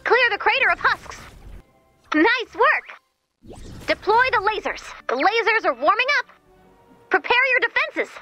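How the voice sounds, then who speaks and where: A woman voicing a brisk, caring but easily exasperated mission guide in a video game, conversational and instructing. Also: very high-pitched, expressive, bright, forceful tone, soft background music, heavily acted. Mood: brisk commanding.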